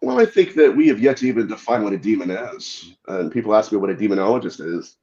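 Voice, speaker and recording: A man's voice: lowish voice, lively intonation, quick pace, dark tone, muffled recording, slight background noise. A man appearing as a guest demonologist, explaining his views earnestly and thoughtfully. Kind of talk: casual interview talk.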